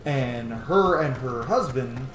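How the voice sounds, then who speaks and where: A man's voice: medium pitch, expressive, slow. A man giving casual, profanity-laced commentary while playing a video game. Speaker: gaming video host. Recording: roomy echo, clear background noise.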